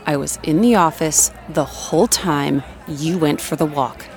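Guarded female project manager, deep voice, unhurried, terse and defiant, audio drama. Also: a little mellow, faint music behind, some chatter behind. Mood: defensive. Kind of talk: naturalistic audio drama dialogue.

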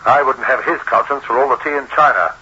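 An actor playing a stern, determined police detective in a 1960s radio drama, clipped and authoritative. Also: male voice, mid-range voice, quick pace, bright, forceful tone, slightly rough, full character voice. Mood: grim, resolute. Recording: muffled old radio recording, faint noise.

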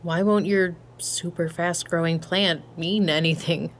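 A laid-back, sarcastic woman with a low voice and a slow pace. She talks bluntly and casually in a naturalistic audio drama, over faint ambience. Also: fairly even intonation, slight background noise. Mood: blunt, teasing. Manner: casual, slangy, wry.

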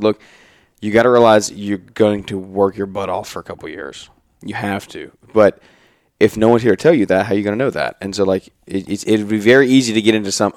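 A man's voice: lowish voice, a little mellow, no echo, studio quality. Rambling young man in his twenties. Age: 20s. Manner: rambling, many restarts and "like"s. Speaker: podcast co-host, married to co-host. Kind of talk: casual podcast conversation. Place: trade expo event.

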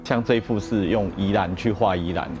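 Low-voiced man talking fast over loud music. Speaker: artist interviewee. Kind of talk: casual interview speech.

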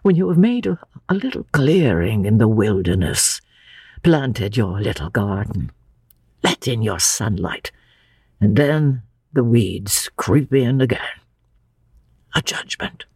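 A reader playing a slow, grieving old man. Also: medium pitch, lively intonation, darkish tone, husky, no echo, clean studio sound, full character voice. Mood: anguished. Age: older. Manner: halting, drawn-out, anguished delivery. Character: frail, grieving old man, tormented and gentle. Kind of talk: dramatic audiobook character reading.

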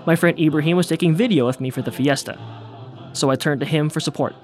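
A man's voice: a little high, fast talker, a little mellow, soft background music. A man narrating his own documentary story in a wry, self-deprecating way. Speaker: host and narrator.

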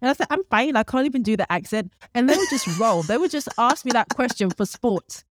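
A woman raised in London with a London English accent, a podcast host telling her story as a guest in a casual interview, laughing often and pausing with fillers. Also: fairly high pitch, lively intonation, slight background noise. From United Kingdom.

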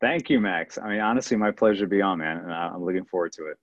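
A man in his thirties from the Midwest with a fairly low voice, talking in a friendly, enthusiastic way as a podcast guest. The sound is dry and a little dull, like remote call audio. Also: fast talker, no echo. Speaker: guest sportswriter. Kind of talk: casual interview chat.

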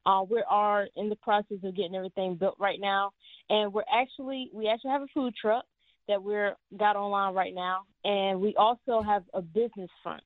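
A woman's voice: mid-range voice, fairly even intonation, brisk, no echo. Young woman on a phone line. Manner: polite, respectful. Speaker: guest, family business owner.

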